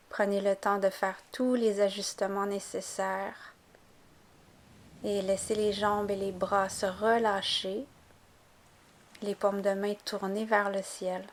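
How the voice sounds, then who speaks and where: A woman guiding a yoga nidra relaxation, giving calm, gentle, unhurried instructions. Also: medium pitch, slight background noise. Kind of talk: guided relaxation meditation narration.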